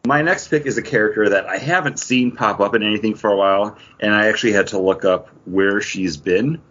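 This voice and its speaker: Deep-voiced man chatting on a podcast. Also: a little fast, fairly bright tone, dull audio. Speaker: podcast host. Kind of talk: casual comics and beer chat.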